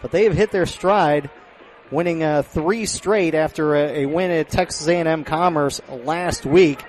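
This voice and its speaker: A man's voice: fairly high pitch, unhurried, darkish tone. A man doing live play-by-play commentary of a football game as a radio announcer, close on the mic at an outdoor stadium. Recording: clear outdoor stadium background noise.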